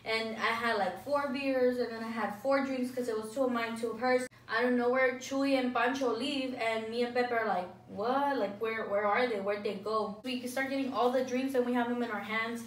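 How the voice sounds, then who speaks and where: A woman's voice: a little high, fairly even intonation, normal speed, hardly any pauses, distant mic, slight background noise. A young woman vlogger telling a story casually, rambling and saying "like" constantly. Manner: rambling, fills speech with "like". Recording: echoey room, voice off-mic.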